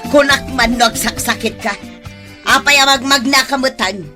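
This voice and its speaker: A woman playing a snobbish, overwrought mother in a radio drama, loud and wailing. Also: high voice, moderate pace, over music, lightly acted.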